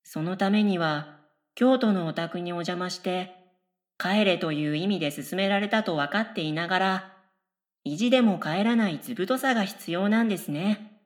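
A woman playing an oddly polite, daydreaming middle-school girl in a radio comedy, musing absurdly in earnest, deadpan, polite speech. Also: fairly low pitch, moderate pace, close to an everyday voice. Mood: dreamy longing. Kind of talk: scripted radio comedy dialogue.

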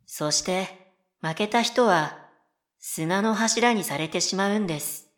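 A woman voicing a polite, whimsical and stubborn middle-school girl in a comedic radio drama, deadpan and following her own rhythm. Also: mid-range voice, takes it slowly. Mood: coyly insistent. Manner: polite speech, deadpan, self-paced.

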